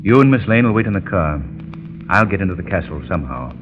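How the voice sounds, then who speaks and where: An actor playing a calm, dry-witted gentleman detective in a 1930s radio drama. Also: deep voice, fast talker, narrow, tinny audio, slight background noise. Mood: composed. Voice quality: cool, dry, commanding. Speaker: amateur sleuth leading the others.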